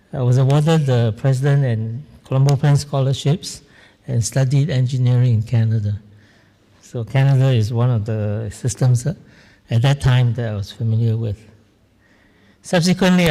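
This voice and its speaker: An older man from Singapore, giving a measured, reflective conference talk with frequent pauses and fillers. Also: mid-range voice, mellow. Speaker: guest speaker. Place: large conference hall. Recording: reverberant conference hall.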